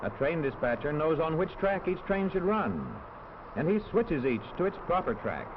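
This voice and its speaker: A man narrating a 1940s educational film in a measured, instructional manner, on a muffled old soundtrack. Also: fairly high pitch, very animated, background noise. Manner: clear, measured, instructional delivery.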